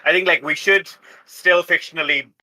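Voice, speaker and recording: A man's voice: a little high, steady tone, normal speed, pushed and bright, low-quality recording. A man playing a tabletop game, chatting casually about strategy with the group.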